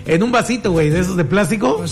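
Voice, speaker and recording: A man's voice: sits high, lively intonation, fast talker, soft background music. A Mexican man hosting a call-in radio show, joking and slangy, dropping "güey" constantly. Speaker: radio host taking listener calls. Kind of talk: casual call-in chat.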